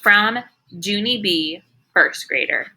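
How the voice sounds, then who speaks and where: A woman's voice: medium pitch, takes it slowly, pushed and bright, exaggerated voice, low-quality recording. A woman voicing an earnest, anxious first-grade girl telling her own storybook tale in an emphatic, childlike way. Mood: earnest, worried. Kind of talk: children's storybook read-aloud.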